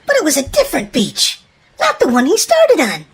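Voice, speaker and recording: A woman's voice: high-pitched, wildly swooping pitch, normal speed. A woman narrating a children's storybook in a dramatic, emphatic scripted read-aloud style for young listeners.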